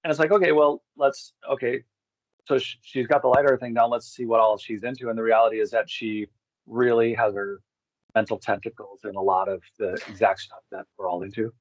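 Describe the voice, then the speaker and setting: A man's voice: on the low side, flat delivery, brisk, dry recording, lo-fi sound. A man talking casually as an interview guest, rambling and circling back to restate his points. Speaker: guest, expedition group co-founder.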